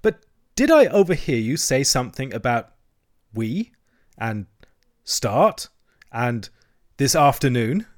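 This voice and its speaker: A man's voice: medium pitch, big pitch swings, slow, long pauses. Man playing a stubborn, sensible storybook water rat. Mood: stiff, incredulous. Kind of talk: storybook character acting.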